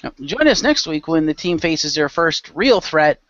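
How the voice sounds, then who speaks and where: Male game master, higher register, casual narration and banter, lo-fi dry recording. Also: big pitch swings, normal speed, a bit bright, no echo.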